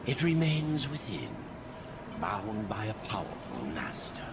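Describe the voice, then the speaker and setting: An actor playing a cryptic otherworldly guide in a video game, speaking in a solemn, theatrical, gravelly voice. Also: male voice, wildly swooping pitch, measured pace. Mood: grave, ominous. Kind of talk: video game cutscene acting. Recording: noisy game audio with loud rumbling ambience.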